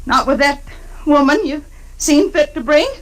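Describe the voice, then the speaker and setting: An actress playing a haughty, bossy, prim small-town wife in a 1950s radio western. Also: female voice, high voice, unhurried. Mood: indignant, haughty. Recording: old-time radio recording.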